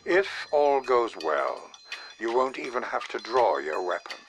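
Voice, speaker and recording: Mister Adams, a smooth spymaster. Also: male voice, medium pitch, sing-song, unhurried, a bit bright. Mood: commanding. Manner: authoritative, controlled, formal. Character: smooth, commanding male government spymaster. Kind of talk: video game cutscene voice acting. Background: game score music.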